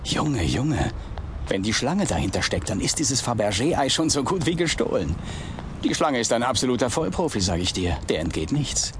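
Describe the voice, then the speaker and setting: An actor playing a thief's eager, naive sidekick in a German audio drama, earnest, deferential and easily puzzled. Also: male voice, mid-range voice, sing-song, moderate pace, background noise, close to an everyday voice. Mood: impressed.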